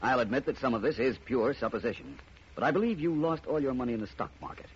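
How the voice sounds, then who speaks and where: An actor playing a suave, cool-headed amateur detective, speaking in a smooth, assured manner in 1940s radio acting. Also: male voice, mid-range voice, lively intonation, fast, close to an everyday voice. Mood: explaining. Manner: smooth, assured, explaining with relish. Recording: muffled old radio broadcast, faint hiss.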